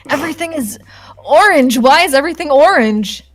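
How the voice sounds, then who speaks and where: Giggly woman with a highish voice. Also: measured pace, faint music behind. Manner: animated, giggly, sweary. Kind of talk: casual joking commentary on a game.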